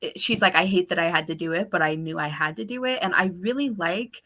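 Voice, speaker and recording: A woman's voice: fairly low pitch, quick pace. A woman co-hosting a casual book discussion, talking informally and animatedly, often acting out quotes as she goes. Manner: animated, informal, quotes characters and thoughts in talk. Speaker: co-host of a book discussion show.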